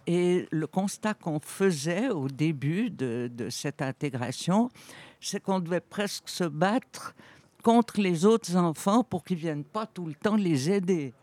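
A woman's voice: on the low side, expressive, takes it slowly, warm tone, no echo. A woman of eighty, a guest on a radio interview, recalling her career thoughtfully with frequent hesitations and restarts. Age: 80s.

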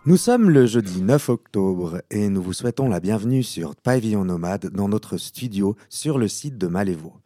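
A man with a fairly low, very mellow voice hosts a live radio programme. He speaks as a presenter introducing the show and putting questions to guests. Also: animated, moderate pace, few pauses.

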